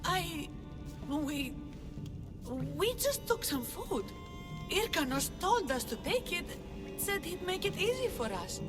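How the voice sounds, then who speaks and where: Desperate villager begging, really high and slower, big echoey reverb. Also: female voice, very animated, music in the background, full character voice. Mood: anxious, pleading. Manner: pleading, earnest. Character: desperate, hungry female villager pleading for mercy. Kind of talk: video game cutscene acting.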